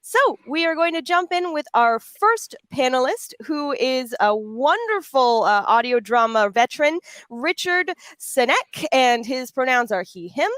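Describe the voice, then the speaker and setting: A woman's voice: sits high, lively intonation, a little slow, hardly any pauses, a bit bright, no room sound. A woman hosting a panel, chatty and effusive, with emphatic delivery as she addresses guests and audience. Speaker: panel host and audio drama voice actor. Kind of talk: hosting a pre-recorded panel, introducing guests.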